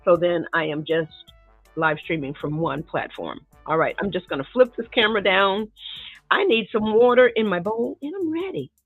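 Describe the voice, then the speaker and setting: A middle-aged woman with a drawl and a nasal, stuffy voice talks loosely to her live-stream viewers, calling them "y'all" and laughing between remarks. Her voice has a breathy, husky edge. It comes through a thin, phone-like stream that sounds dry and close. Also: medium pitch, expressive, normal speed, no room sound, soft background music. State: congested. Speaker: art teacher live-streaming a painting lesson. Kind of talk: casual live-stream chat while setting up. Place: home art studio. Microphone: desk microphone near the table. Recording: tinny, narrow-band live-stream audio.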